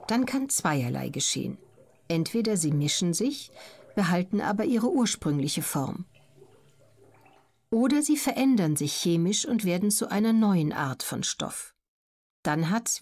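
A woman reads scripted educational narration as an audiobook narrator, measured, factual and clearly articulated. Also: lowish voice, darkish tone.

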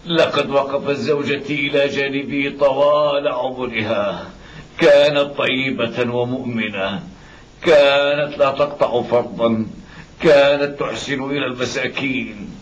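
An actor playing a devout, tender blind widowed father in a dubbed cartoon, pleading and gentle in manner. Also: medium pitch, steady tone, measured pace, natural voice. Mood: grieving. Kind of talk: dubbed cartoon acting in formal Arabic. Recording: muffled old cartoon soundtrack with background noise.

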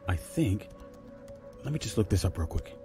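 Actor as a patient, low-voiced puzzle expert. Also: male voice, very animated, moderate pace, music in the background. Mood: thoughtful. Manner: instructive, step-by-step explaining. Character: patient puzzle-savvy man explaining a cipher. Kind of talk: naturalistic audio drama dialogue.

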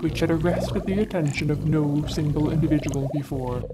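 A woman gives a dramatic, theatrical reading of a gothic horror story, with loud music behind her. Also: sits low, very noisy. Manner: slow, theatrical, with whispered and echoed word repeats. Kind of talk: dramatic scripted narration.

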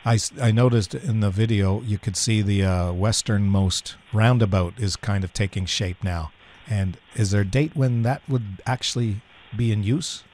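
A man's voice: fairly low pitch, moderate pace, darkish tone. A man with a Canadian accent, a local news interviewer asking brief conversational questions.

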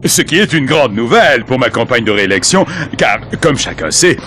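Smarmy half-robot president, lively pitch, spin-doctor talk. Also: male voice, normal speed, slight background noise. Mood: smug. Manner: politician's smug spin. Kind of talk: video game dub.

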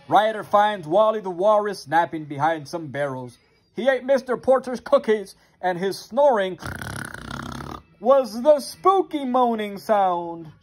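An expressive reader with a sing-song delivery, reading a children's picture book aloud into a phone at home. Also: mid-range voice. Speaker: storybook reader. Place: carpeted playroom at home. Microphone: handheld phone near the reader.

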